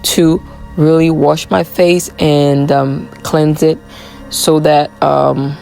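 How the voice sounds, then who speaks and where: A woman vlogger talks through her morning routine in a voiceover. Her voice is hoarse from a cold and sits low with a gravelly edge. Soft music plays faintly behind her. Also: slow pace, low-quality recording. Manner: relaxed, chatty, many "um" fillers.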